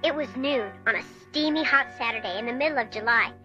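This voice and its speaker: An actress playing an earnest, excitable ten-year-old American girl telling her story in a radio drama. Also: on the high side, fast, dull audio, soft background music. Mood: earnest storytelling. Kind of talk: dramatized first-person radio drama narration.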